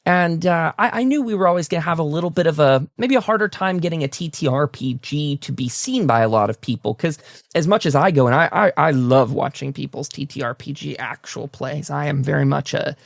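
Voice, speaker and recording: A man's voice: a little high, fairly quick, creaky, dry recording, clean studio sound. A man hosting a casual interview show, speaking effusively and often stammering over repeated words. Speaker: talk show host.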